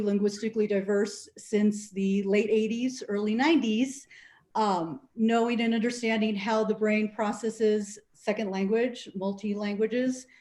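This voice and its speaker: A middle-aged woman whose first language is Spanish, speaking as a council member in a measured, explanatory way and pausing to find her words. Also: medium pitch, warm tone. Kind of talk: council meeting remarks. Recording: echoey room sound.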